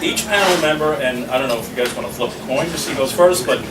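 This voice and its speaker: A man's voice: medium pitch, fast. A man, speaking as chapter president and introducing a debate to an audience, joking between fillers. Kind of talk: public speaking at an event. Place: small meeting room. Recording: echoey room, far from mic, noisy.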